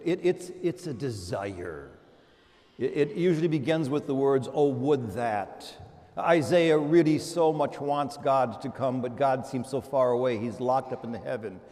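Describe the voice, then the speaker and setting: A man's voice: a little high, darkish tone. A male priest giving a sermon with emphatic, repeated phrases, recorded in a large reverberant church. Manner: emphatic, rhetorical repetition. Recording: echoing church hall, long reverb.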